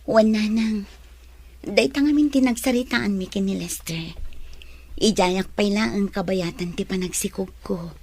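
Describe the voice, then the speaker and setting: A woman's voice: mid-range voice, measured pace, darkish tone. A woman playing a meek, dutiful young wife in an Ilocano-accented radio drama. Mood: meek, concerned.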